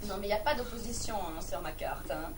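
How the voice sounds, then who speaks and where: A woman performer playing an excitable, indignant, naive woman in a theatrical one-woman comedy monologue, exclaiming melodramatically and repeating her words. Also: medium pitch, a little fast, lightly acted. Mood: bantering, impatient. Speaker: comic stage performer. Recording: distant mic, noisy live room recording.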